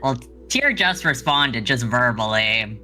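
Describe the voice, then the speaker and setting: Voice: mid-range voice, lively intonation, unhurried, pushed and bright, soft background music. A foul-mouthed, self-deprecating co-reader chatting and joking casually during a group let's-read. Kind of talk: casual, crude joking banter.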